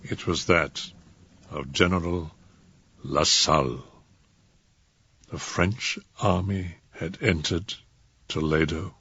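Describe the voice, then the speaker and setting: An actor playing a terrified, desperate prisoner of the Inquisition, narrating a gothic horror tale theatrically and haltingly. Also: male voice, sits low, fairly even intonation, pushed and bright, breathy edge, no echo, heavily acted. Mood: exhausted relief. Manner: theatrical, halting delivery with anguished outbursts. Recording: dull, noisy lo-fi recording.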